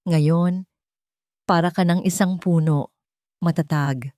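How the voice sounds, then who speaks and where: A woman's voice: fairly low pitch, fairly even intonation, slow pace, warm tone, no room sound, studio quality. A woman voicing a kindly, wise old motherly woman in an audio story, fond and reassuring. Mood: fond pride.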